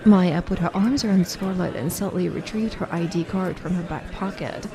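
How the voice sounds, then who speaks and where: A woman's voice: lowish voice, normal speed, a crowd in the background. A woman narrating a scripted story for an amateur audio drama.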